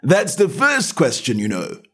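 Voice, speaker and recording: A man voicing a dull, solemn frog footman who speaks in a slow, unbothered drawl. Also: a little high, wildly swooping pitch, slightly rough, pitched above the performer's own voice, full character voice.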